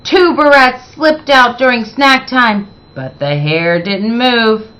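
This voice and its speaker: Woman reading a kids book out loud, slow, bright voice, really expressive and dramatic. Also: on the high side. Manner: deliberate, dramatic emphasis. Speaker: read-aloud storyteller. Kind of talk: expressive children's picture book narration.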